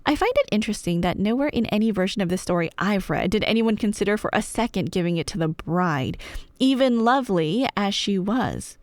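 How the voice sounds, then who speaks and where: Animated woman storyteller, dry close sound. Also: medium pitch, fairly quick, dry recording. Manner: playful, wry asides. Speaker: ASMR roleplay storyteller. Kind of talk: casual, scripted myth retelling.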